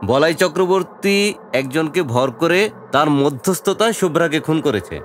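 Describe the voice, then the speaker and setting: Highish-voiced domineering village man played by an actor. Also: moderate pace, a little hiss or hum, natural voice. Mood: ominous explaining. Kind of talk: audio drama acting. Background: faint music.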